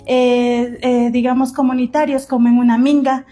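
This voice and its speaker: Young Ecuadorian woman interviewee, mellow monotone, halting delivery. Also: sits high, soft background music. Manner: hesitant, many fillers. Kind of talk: casual interview answers.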